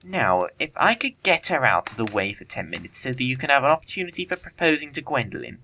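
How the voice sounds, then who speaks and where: A man playing a witty, flippant young gentleman bachelor in an audio comedy of manners, glib and theatrical in formal speech. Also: mid-range voice, sing-song, quick pace, vocal fry, a little hiss or hum, heavily acted.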